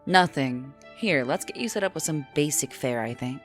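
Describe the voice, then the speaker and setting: A woman narrator puts on a brash alien rebel woman in a low-pitched voice. She is laid-back and blunt, teasing in casual slang, as in a science fiction audiobook. Faint music plays underneath. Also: lively intonation, normal speed. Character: brash, laid-back alternative brown-furred female alien.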